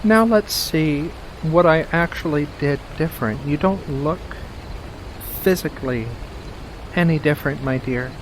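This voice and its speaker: Doting witch, acted by an ASMR performer, soft-spoken, unhurried, mid-pitched, playful and cooing, steady rain-like noise. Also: natural voice, poor audio. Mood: puzzled, musing. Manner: slow, cooing, coaxing, with hums and pet names. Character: doting, playful furry witch, sweetly possessive.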